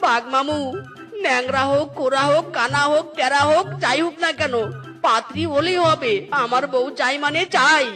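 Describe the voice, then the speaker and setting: A woman's voice: high voice, moderate pace, a bit bright, soft background music. A woman voicing an impatient, marriage-crazed young male fox in a cartoon, whining and pleading with frequent exclamations. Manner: whiny, pleading, catchphrase exclamations. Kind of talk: cartoon voice acting.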